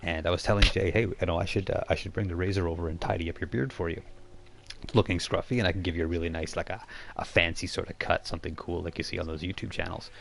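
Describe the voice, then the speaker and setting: Deep, gravelly-voiced man hosting casually. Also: steady tone, fast talker. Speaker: game master and live stream host. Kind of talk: casual chat about a tabletop role-playing game. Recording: faint background noise.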